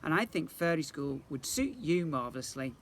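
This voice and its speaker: A man voicing a gentle, encouraging fairy mother in a children's storybook, reassuring and gushing. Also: on the high side, sing-song, moderate pace, a little hiss or hum.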